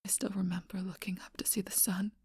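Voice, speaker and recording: A woman voicing an ancient, lonely, seductive female vampire in a whispered ASMR role-play, speaking slowly and softly into a close mic. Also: mid-range voice, little pitch movement, slightly rough, no echo. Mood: mournful whisper. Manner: soft intimate whisper, slow and measured.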